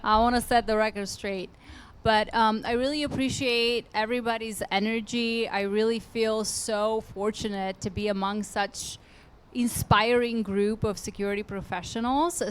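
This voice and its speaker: A woman's voice: medium pitch, slight background noise. Earnest woman on a conference panel. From Bulgaria. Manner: earnest, pausing, restarts phrases. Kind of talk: panel self-introduction. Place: conference hall stage. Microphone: handheld microphone.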